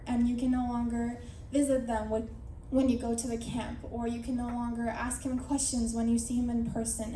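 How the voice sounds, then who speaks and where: An earnest young woman speaks in a measured, reflective way, her voice slightly high and softly mellow. She is captured from a distance in a roomy, echoing hall, with faint noise underneath. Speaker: speaker at a memorial gathering. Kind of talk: prepared personal tribute speech. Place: large hall at an evening gathering. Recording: echoey hall from far off.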